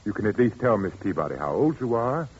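Muffled, noisy vintage radio: genteel but menacing father, overwrought, commanding, highly animated. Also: fast, husky. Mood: genteel, insistent. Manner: theatrical, commanding. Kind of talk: 1940s radio suspense acting.

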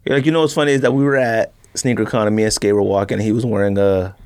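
A man's voice: on the low side, steady tone, fast, a little mellow, smooth. A man hosting a podcast in casual, slangy conversation, animatedly acting out imagined quotes as he talks. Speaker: podcast host.

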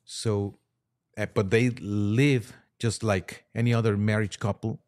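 A man speaking as a priest and podcast guest, giving careful, somewhat halting explanations in conversation. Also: lowish voice, steady tone, darkish tone. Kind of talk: conversational interview answers.

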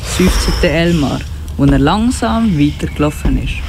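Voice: mid-range voice, lively intonation, unhurried, noisy background. A young narrator with a Swiss German accent reading a scripted children's story. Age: child or teenager.

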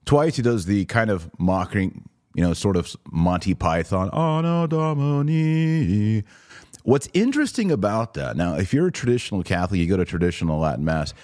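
Low-voiced man hosting a podcast, close and dry. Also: a little mellow, no echo. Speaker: podcast host. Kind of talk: casual commentary on a video clip.

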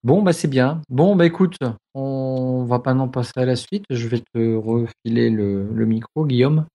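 A man hosts a podcast and moves the talk along casually. His voice is gravelly with a dark, mellow tone. He sits at an ordinary pitch. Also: normal speed. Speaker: podcast host.

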